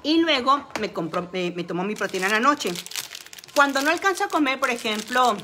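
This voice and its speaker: Bright chatty woman vlogging. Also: fairly high pitch, expressive, fairly quick, slight background noise. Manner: chatty, frequent fillers, shows products. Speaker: beauty and wellness vlogger. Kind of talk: casual product talk to camera. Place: small room at home, indoors. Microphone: phone camera at arm's length.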